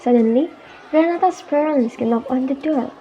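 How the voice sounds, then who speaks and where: A woman's voice: sits high, normal speed, mellow, highs cut off, a little hiss or hum. A young adult woman with an Indonesian accent reading scripted narration for a radio drama.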